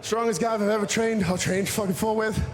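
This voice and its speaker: A man's voice: sits high, steady tone, a little fast. A man giving an impassioned post-fight interview as a fighter, boastful, sweary and emphatic. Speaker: fighter being interviewed after a win. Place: MMA cage in a crowded arena. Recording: crowd noise in arena.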